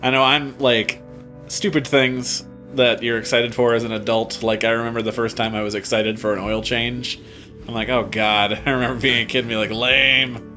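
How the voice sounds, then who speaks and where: A man's voice: mid-range voice, flat delivery, moderate pace, sharp tone. Late-20s man, let's play co-host, wry and goofy, music playing under him. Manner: wry, joking, goofy outbursts. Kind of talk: casual gameplay chat.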